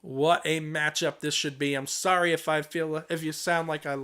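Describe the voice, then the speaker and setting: A young man with a hoarse voice from a cold, commentating a wrestling video game play-by-play and rising to shouts on big moves. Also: a little high, flat delivery, moderate pace. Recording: home recording with clear background noise.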